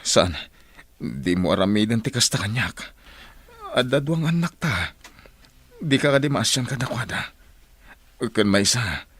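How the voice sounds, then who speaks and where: A man's voice: mid-range voice, sing-song, measured pace, pauses often, fairly bright tone. A man playing an emotional, pleading, remorseful village husband in a radio drama. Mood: subdued, bitter. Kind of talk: radio drama acting in Ilocano.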